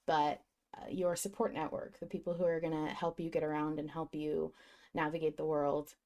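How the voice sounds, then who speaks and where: Woman podcast host with a lowish voice. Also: little pitch movement, moderate pace, warm tone. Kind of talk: casual fan discussion.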